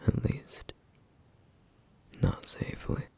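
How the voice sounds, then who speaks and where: A man playing a somber, duty-bound, protective flame demon in an ASMR roleplay, speaking in a hushed, halting, formal way with a rough, breathy voice. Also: lightly acted. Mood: grave, hesitant. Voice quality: breathy, husky, rough whisper. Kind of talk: ASMR roleplay, intimate scripted monologue.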